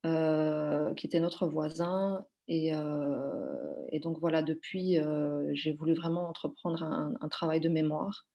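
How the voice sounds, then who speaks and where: A woman's voice: low voice, takes it slowly, creaky, few pauses, muffled recording. A woman from Belgium, a podcast guest, talking in a hesitant conversational way with many fillers and restarts. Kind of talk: conversational interview.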